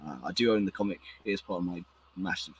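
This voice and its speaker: A hoarse man hosting a casual podcast chat, talking excitedly and rambling with many restarts. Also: low voice, fast, slight background noise. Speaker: podcast host.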